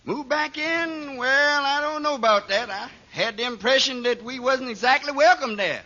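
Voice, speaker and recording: An actor playing a smug, sly freeloading brother-in-law in a 1940s radio comedy, mock-sweet and coy. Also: male voice, very high voice, moderate pace, pushed and bright. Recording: very noisy, dull old radio recording.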